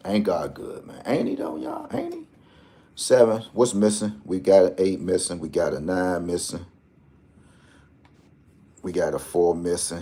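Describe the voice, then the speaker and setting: A low-pitched man talks through lottery numbers in a loose, joking vlog ramble. His voice is deep and mellow, and he jumps from slang-filled asides to number picks. He keeps an animated, chatty tone throughout. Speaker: lottery tips host. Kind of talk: casual rambling vlog talk.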